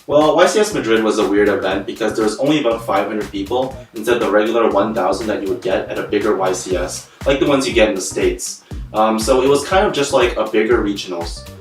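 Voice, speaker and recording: Low-voiced Canadian man, echoey and distant. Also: fast talker, soft background music. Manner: earnest, plain recounting with fillers. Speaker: co-host and guest player. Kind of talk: casual interview answers. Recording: echoey room from far off.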